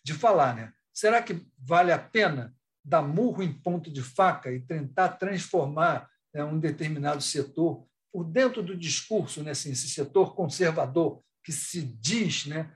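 A man with a Brazilian accent speaking spontaneously as an academic in an online panel, halting and discursive, often rephrasing and adding tag questions. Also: fairly high pitch, lively intonation. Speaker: academic researcher and thesis supervisor.

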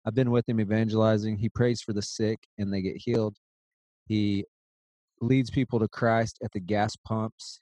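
Joking podcast host, lowish voice. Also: male voice, mellow, no room sound. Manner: joking, hyped-up banter. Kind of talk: casual podcast intro. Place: Redding, California.

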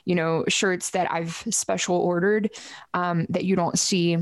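A woman with a low voice chatting casually and informally as a musician guest in an interview, rambling as she goes. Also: flat delivery, unhurried, no room sound, clean studio sound.

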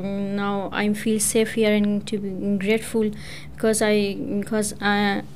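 Woman, from Pakistan, Persian native speaker, hesitant non-native English, flat intonation, faint background hiss. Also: mid-range voice. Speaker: English student speaking on community radio. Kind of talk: prepared personal talk.

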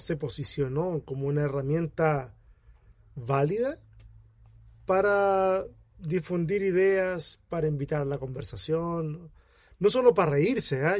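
A man in his forties hosting a casual podcast interview, chatty and quick to laugh, calling his guest by name. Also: on the high side, a little mellow, no echo. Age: 40s. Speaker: podcast host.

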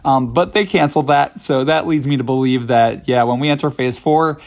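A man in his thirties, slightly hoarse from a voice that is still recovering, talking casually on his own podcast with plenty of fillers and restarts. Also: mid-range voice, brisk. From New York City. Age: 30s. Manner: rambling, many fillers and restarts. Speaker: podcast host. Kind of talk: casual solo podcast talk.

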